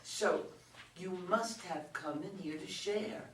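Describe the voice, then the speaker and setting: A woman playing a poor tenant who is wry, wheedling and pointed, speaking deliberately and insistently in a staged play reading. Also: on the low side, a bit bright. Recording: distant roomy live recording, background noise.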